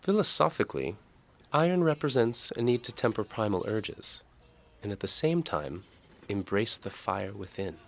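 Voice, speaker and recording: Man voicing a forward young maid, pitched high. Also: very animated, moderate pace, vocal fry, a bit bright, exaggerated voice. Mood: concerned.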